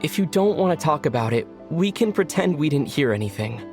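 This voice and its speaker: A man voicing a calm, kindly young man in a game who speaks gently and reassuringly. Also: a little high, brisk, music in the background. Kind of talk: game voice acting.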